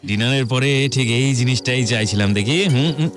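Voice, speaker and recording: Male actor as jocular foodie friend, audio drama, middle pitch, exclamatory teasing, music and crickets behind. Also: normal speed, close to an everyday voice. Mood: hungry, satisfied. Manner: joking, exclamatory.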